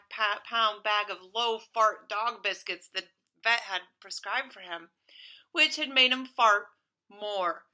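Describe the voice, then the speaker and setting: A woman reading a storybook aloud as a teacher to pupils, expressive and dramatic in her storytelling. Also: fairly high pitch, rough recording. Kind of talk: animated storybook read-aloud.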